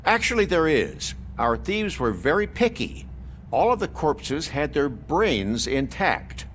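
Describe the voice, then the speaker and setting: An actor playing a wry, talkative hospital morgue worker in a video game, with a droll, chatty delivery. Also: male voice, fairly high pitch, sing-song, takes it slowly, a bit bright, a little hiss or hum, full character voice. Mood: droll, intrigued. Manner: droll, dryly morbid chattiness.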